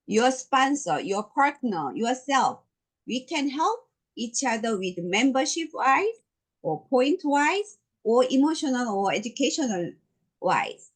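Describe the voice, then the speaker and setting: A woman's voice: high voice, big pitch swings, slow pace. A middle-aged woman from Korea with a Korean accent, giving an emphatic, repetitive motivational business presentation as a network marketing presenter.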